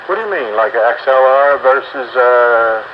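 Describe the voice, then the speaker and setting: Man, casual halting chat, muffled two-way radio, noisy transmission. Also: medium pitch, animated. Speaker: amateur radio operator.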